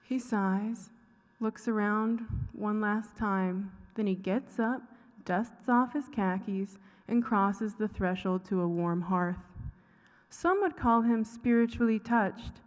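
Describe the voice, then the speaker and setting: A woman giving a dramatic reading of a short story, with deliberate, pausing phrasing. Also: medium pitch. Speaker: fiction writer reading own work. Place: reverberant hall at a live public reading. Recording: echoey hall with long reverb.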